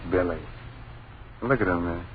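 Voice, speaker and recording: A man's voice: sits low, moderate pace, close to an everyday voice. Actor as anxious father in vintage radio horror. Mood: soothing. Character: anxious young father steadying his frightened family. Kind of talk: old-time radio horror acting. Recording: muffled, hissy old radio drama recording.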